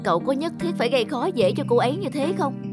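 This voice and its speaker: A woman voicing a soft-hearted, chubby young man in a cartoon, a concerned friend who speaks with gentle sympathy. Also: high voice, lively intonation, quick pace, music in the background. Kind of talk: cartoon voice acting.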